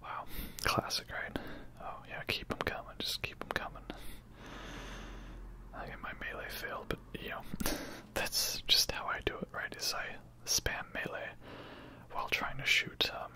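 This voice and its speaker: Whispering ASMR gamer, rambling and casual. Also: a little slow. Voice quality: breathy, unvoiced whisper. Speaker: video game streamer. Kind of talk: whispered ASMR gameplay commentary.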